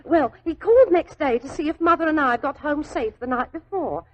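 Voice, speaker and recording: High, fluttery young girl. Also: lively intonation, rough recording. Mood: wistful, chatty. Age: young adult. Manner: deferential, chatty, halting when flustered. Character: naive, devoted young working-class London typist, anxious and respectful. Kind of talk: 1940s radio drama acting. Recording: old 1940s radio drama recording.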